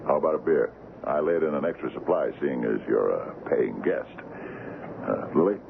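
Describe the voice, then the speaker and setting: Gruff middle-aged sea captain, extremely low voice, folksy, 1970s radio drama, noisy narrow-band broadcast. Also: male voice, lively intonation, moderate pace, full character voice. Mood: hearty, bluff. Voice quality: gravelly. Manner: folksy, repeats himself. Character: easygoing forty-year-old sea captain, smitten and gruff.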